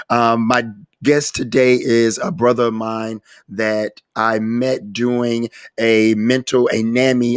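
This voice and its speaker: A man hosting a recovery podcast, earnest, his voice a little raspy from a cold, often restarting and repeating words. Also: medium pitch, dry recording. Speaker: podcast host. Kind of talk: casual podcast interview.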